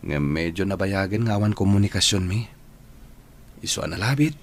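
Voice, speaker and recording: A man's voice: sits low, normal speed, slight background noise. An actor playing an earnest young man in love, with a Filipino accent, in an audio drama. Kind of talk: Ilocano-language audio drama acting.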